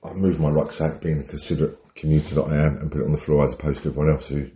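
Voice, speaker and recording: Very deep-voiced British man, wry storyteller. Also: flat delivery, racing, warm tone, highs cut off. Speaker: podcast co-host. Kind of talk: casual chat, telling anecdotes.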